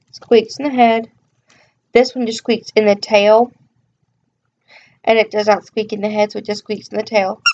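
A chatty young woman talks her way through a show-and-tell from her bedroom, rambling and filling pauses with ums. Her voice sits at an ordinary pitch. It comes through a dull, lo-fi webcam mic with a faint hiss underneath. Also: darkish tone. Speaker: vlogger. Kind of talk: casual show-and-tell vlog. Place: bedroom, indoors. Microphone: webcam at close range.

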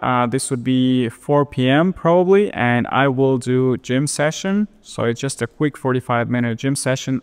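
Ukrainian-accented young man, smooth voice. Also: mid-range voice, in a large hall. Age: young adult. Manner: relaxed, explanatory, frequent fillers. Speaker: web designer and YouTuber. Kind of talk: screen-share tutorial. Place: indoors by a large window.

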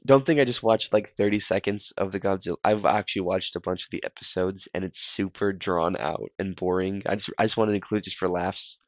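A man's voice: deep voice, brisk, hardly any pauses, no echo. A young man giving casual, rambling reaction commentary as a host, often repeating his phrases. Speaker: host ranking horror videos.

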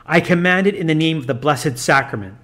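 A man's voice: on the high side, fairly quick, natural voice. Man playing a stern commanding priest. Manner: loud, commanding, formal old-fashioned speech. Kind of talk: audiobook reading.

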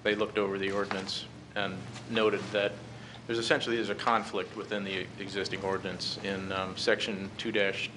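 American man, middle-aged, low monotone, halting public briefing, noisy meeting recording. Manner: halting, measured. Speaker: presenter to a city council. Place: council chamber, standing at a podium. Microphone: podium gooseneck microphone.

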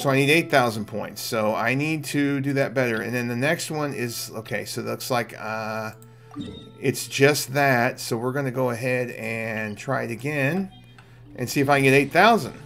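A middle-aged man giving casual gameplay commentary, thinking aloud in a gravelly voice. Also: mid-range voice, fairly bright tone. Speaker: game streamer. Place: small indoor room at home. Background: faint game music.

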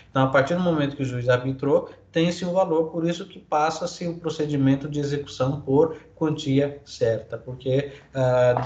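A man's voice: mid-range voice, highs cut off. Brazilian man lecturing over echoey call audio. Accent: Brazilian Portuguese. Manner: explains with many fillers and tag questions. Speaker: law professor. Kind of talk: online lecture reading and explaining legal articles.

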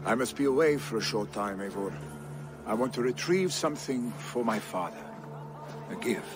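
An actor playing an earnest young Viking heir, a devoted son, speaking sincerely and with restraint in a video game. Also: male voice, mid-range voice, lively intonation, moderate pace, close to an everyday voice. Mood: sober, sincere. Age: young adult. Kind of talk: dramatic video game cutscene acting. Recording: music behind the voice.

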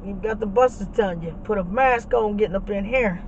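A man's voice: sits high, fairly quick, dull audio. A man talking casually to his viewers while driving a truck, with hype slang and swearing, recorded on a dashboard camera. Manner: loud hype talk with slang and profanity. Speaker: vlogger. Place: inside a moving truck. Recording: loud road and engine noise.

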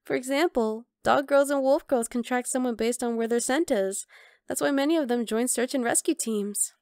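Woman acting a high-voiced, teasing vampire girl. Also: normal speed, darkish tone, smooth, no room sound, studio quality. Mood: satisfied, chatty. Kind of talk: ASMR roleplay.

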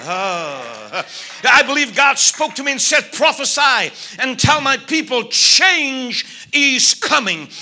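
Bright-voiced South African evangelist. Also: male voice, very high voice. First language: Afrikaans. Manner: builds from soft asides to loud preaching. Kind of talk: sermon. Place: church stage at a pulpit. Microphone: pulpit mic, medium camera.

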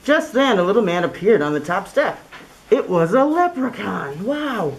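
A middle-aged woman reading a children's story aloud through a cloth mask in an expressive, deliberate way. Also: mid-range voice, gravelly. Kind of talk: read-aloud storybook narration. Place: small indoor classroom. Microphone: camera a few feet away, speaking through cloth mask.

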